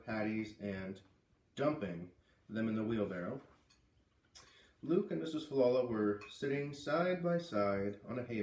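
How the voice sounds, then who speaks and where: A man reading a children's novel aloud as an expressive storyteller. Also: mid-range voice. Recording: roomy echo, distant from mic.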